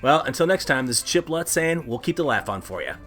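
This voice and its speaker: A man's voice: medium pitch, very animated, a little fast, fairly bright tone. Playful male podcast host over faint music. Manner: playful, showman-like emphasis. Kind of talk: casual promotional podcast sign-off.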